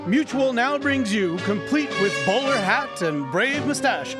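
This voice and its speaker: A theatrical forties radio announcer. The voice is pitched extremely high and declaims grandly, with music prominent behind it. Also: male voice, lively intonation, measured pace. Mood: grand, suspenseful. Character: dramatic, booming radio show announcer. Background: loud musical accompaniment.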